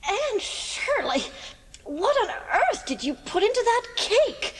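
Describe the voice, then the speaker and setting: Stern older guardian woman, brisk, exasperated, wide swings in pitch, audiobook drama. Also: very animated, in a large hall, slight background noise, exaggerated voice. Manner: brisk, no-nonsense.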